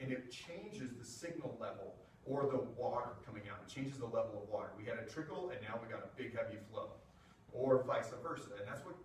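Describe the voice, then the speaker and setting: A man giving a casual classroom lecture on sound equipment, explaining with plenty of fillers and asides, recorded from across the room. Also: mid-range voice. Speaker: music technology presenter. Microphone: room mic from a distance. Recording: echoey classroom from far off, faint hiss.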